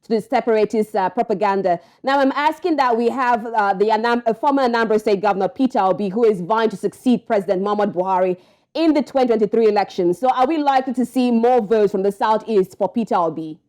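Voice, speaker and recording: A woman's voice: a little high, fast talker, mellow. A woman anchoring a live news interview, probing and rephrasing her questions.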